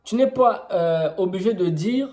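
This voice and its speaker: Young Congolese man, French with Congolese accent, Kituba native speaker, warm voice, informal teaching with rhetorical questions. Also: sits high, expressive, a little slow. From Congo-Brazzaville. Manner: explanatory, with rhetorical questions and many fillers.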